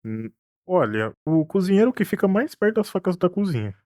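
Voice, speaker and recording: A man voicing a cheeky, unbothered crew member in an animated comedy, flippant and colloquial. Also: sits high, fairly quick.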